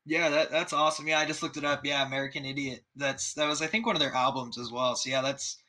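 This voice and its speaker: A podcast host from Texas speaks with a very bright, sharp voice. His talk is easygoing and upbeat, full of casual fillers as he asks questions. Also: medium pitch, steady tone, fast.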